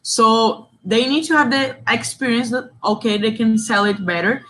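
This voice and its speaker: A woman's voice: on the high side, moderate pace, fairly bright tone. A young woman from Brazil with a Brazilian Portuguese accent, leading an online training session in English, reading chat answers aloud and praising them briefly. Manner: reads chat replies aloud, short praising interjections. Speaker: session facilitator. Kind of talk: online workshop facilitation.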